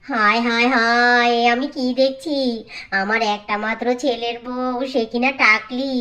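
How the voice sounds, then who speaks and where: A woman acts out a bossy older village mother-in-law for a cartoon fairy tale. Her voice sits fairly high. She wails dramatically, nags and hands out orders. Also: steady tone, measured pace, bright, forceful tone. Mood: dismayed, indignant. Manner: dramatic wailing, nagging and ordering.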